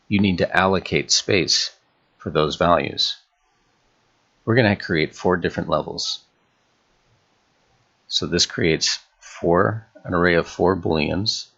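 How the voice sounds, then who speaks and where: A man narrating an instructional screencast as a tutorial host, in a measured, explanatory way. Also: low-pitched, gravelly, bright, forceful tone, slightly rough, muffled recording. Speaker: game development tutorial host.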